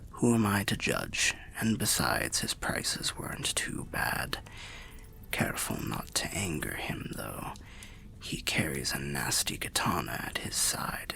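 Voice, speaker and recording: Guy doing a tired old undead storyteller, super deep crackly voice, whispery fantasy asmr, kinda wry and old-timey. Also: pushed and bright, heavily acted. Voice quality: breathy whispering, gravelly low voice. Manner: measured, archaic, wry storyteller. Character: weary old undead wanderer telling tales by the fire.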